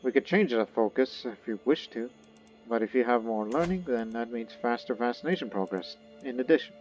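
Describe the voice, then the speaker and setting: A man's voice: medium pitch, lively intonation, fairly quick, lo-fi sound. Rambling man narrating gameplay, faint music. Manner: rambling, thinks aloud, reads game text. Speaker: gaming video host. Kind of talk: casual gameplay commentary.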